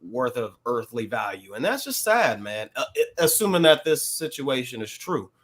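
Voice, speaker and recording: Mid-pitched, reflective man. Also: sing-song, moderate pace, a bit bright. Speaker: podcast co-host. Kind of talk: casual discussion.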